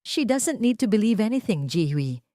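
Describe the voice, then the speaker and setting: Woman narrator as arrogant cheating husband, scornful, dismissive, lively pitch swings, audio drama. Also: normal speed, dry recording, studio quality, lightly acted. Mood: cold mockery.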